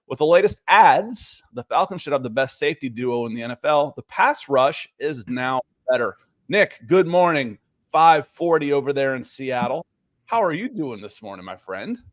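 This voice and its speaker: A man hosting a casual sports podcast in a chatty, animated way, greeting listeners and reading their messages. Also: mid-range voice, normal speed, no room sound. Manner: chatty, animated, reads chat messages aloud. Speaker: podcast host.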